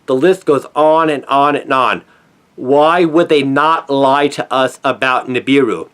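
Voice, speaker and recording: A middle-aged man delivering a scripted opinion monologue in an earnest, emphatic manner with deliberate phrasing. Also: mid-range voice, a bit bright, smooth. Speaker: conspiracy commentator, former newspaper journalist.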